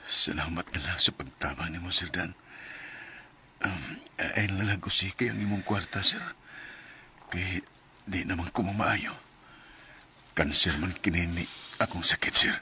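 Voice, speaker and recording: A man's voice: sits low, unhurried, pauses often, bright, forceful tone, a little hiss or hum, full character voice. A man acting a humble, devout, grateful father in a radio drama, speaking earnestly and with deference. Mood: resigned, humble.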